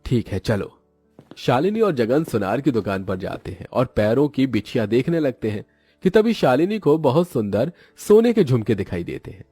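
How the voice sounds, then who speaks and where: A man's voice: mid-range voice, big pitch swings, moderate pace, mellow, breathy edge, no room sound, clean studio sound, faint music behind. A man narrating a scripted children's moral story in Hindi.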